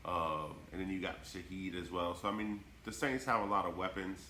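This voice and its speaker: Deep-voiced congested man, echoey room. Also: steady tone, moderate pace, sharp tone, a little hiss or hum. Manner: laid-back, lots of "you know" and "um". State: congested from a cold. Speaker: podcast co-host. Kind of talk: casual sports podcast chat.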